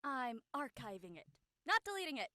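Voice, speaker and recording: Woman as teenage girl, breezy, teasing, bright voice, close dry sound, comedic audio drama. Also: high-pitched, very animated, moderate pace, no room sound, exaggerated voice. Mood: stubborn. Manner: breezy, matter-of-fact, teasing. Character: blunt, unapologetic teenage girl obsessed with social media.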